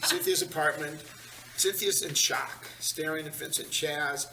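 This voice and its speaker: A man's voice: on the high side, fairly even intonation, measured pace, bright, forceful tone. A man narrating scripted stage directions at a table read in a large meeting room. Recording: echoey room, distant mic, hiss.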